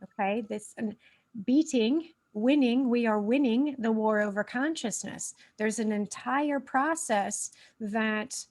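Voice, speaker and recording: A woman's voice: fairly high pitch, takes it slowly, a little mellow. A woman hosting a casual spiritual livestream, a bit stuffy in the nose, rambling with many fillers and restarts. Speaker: host.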